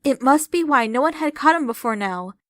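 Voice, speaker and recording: Woman playing a young female cartoon pony, high voice, earnest and articulate, letter narration, close dry sound. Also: animated, a little fast, a bit bright, dry recording. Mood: earnest, troubled. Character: young female pony student writing to her princess. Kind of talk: scripted letter narration in audio fan fiction.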